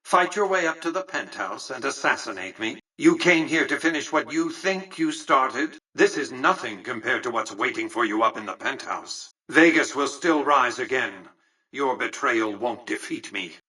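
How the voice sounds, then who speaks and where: An actor playing an imperious, calculating tycoon in a video game, speaking formally with pompous condescension. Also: male voice, medium pitch, expressive, normal speed, pushed and bright, slightly rough, distant mic, low-quality recording. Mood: contemptuous. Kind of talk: scripted game character dialogue.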